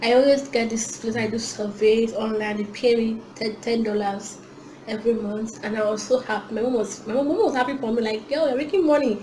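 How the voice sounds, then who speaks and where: Nigerian woman in her twenties, chatty home vlogger, higher voice, roomy echo and faint hum. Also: brisk. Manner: chatty, giggly, animated hand gestures. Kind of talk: casual chat to camera. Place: small indoor room at home.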